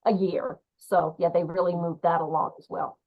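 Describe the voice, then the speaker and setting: Even-toned woman, lowish voice. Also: moderate pace, no room sound, muffled recording. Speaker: guest author. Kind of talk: casual chat.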